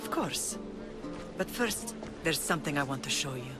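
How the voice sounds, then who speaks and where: A stern middle-aged warrior mother for a game cutscene. She speaks in a measured, deliberate way that carries both pride and love, with music playing behind her. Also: low-pitched, lively intonation. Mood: wistful. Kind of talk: cinematic video game acting. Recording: music under the voice.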